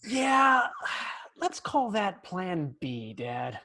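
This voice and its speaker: Bratty young boy, animated, unhurried delivery, sitcom read over video call. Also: high voice, husky, heavily acted. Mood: cheeky. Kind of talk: comedy script table read. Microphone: computer on video call.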